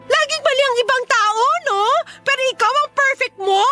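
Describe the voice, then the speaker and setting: A woman's voice: extremely high pitch, normal speed, pushed and bright, faint music behind. An actress with a Filipino accent playing an exasperated, confrontational woman neighbour in a radio drama. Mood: hurt, indignant. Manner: indignant, confrontational, demanding.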